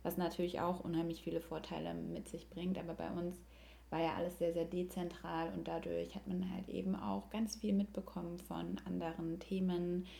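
A woman's voice: on the low side, flat delivery, normal speed, creaky, mellow. A young woman chatting casually and reflectively as a podcast guest. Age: young adult. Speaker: podcast guest, yoga teacher and coach.

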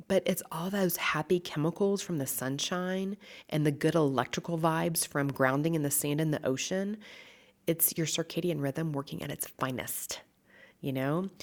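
A woman hosting her own podcast, chatting in a bubbly, upbeat way. She is stuffed up and a little raspy from allergies and is recording in a large room with stucco walls. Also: low-pitched. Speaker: podcast host, nutritionist. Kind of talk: casual solo podcast talk.

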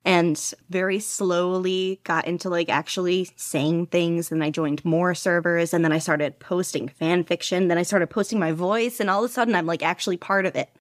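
A woman guest chatting candidly in an interview, rambling and self-deprecating with quick laughs. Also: fairly low pitch, fairly even intonation, no echo, studio quality. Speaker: podcast guest, podfic reader. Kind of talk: casual interview chat.

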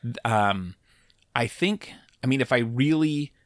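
A middle-aged man co-hosting a podcast, chatting casually in a relaxed, rambling way with halting restarts. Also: mid-range voice, no echo, clean studio sound. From Puget Sound, Washington. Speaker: podcast co-host. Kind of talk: casual chat.

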